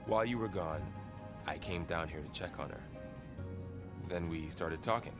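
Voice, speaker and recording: An actor playing a gentle, supportive young man in a video game, talking casually and coaxingly as a friendly companion. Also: low voice, normal speed. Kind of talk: video game cutscene dialogue. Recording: game music behind the voice. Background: music.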